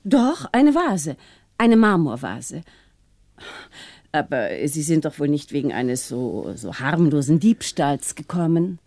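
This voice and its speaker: An actress playing a frail, genteel older bereaved wife in a 1960s German radio play, speaking hesitantly. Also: female voice, low-pitched, sing-song, mellow, no room sound, clean studio sound, heavily acted. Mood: uneasy. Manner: hesitant, halting.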